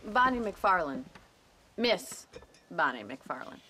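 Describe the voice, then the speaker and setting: A woman playing a brisk, plain-spoken rancher, wry and sarcastic, in a video game cutscene. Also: on the low side, very animated, sharp tone, slight background noise, exaggerated voice. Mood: curious, tart.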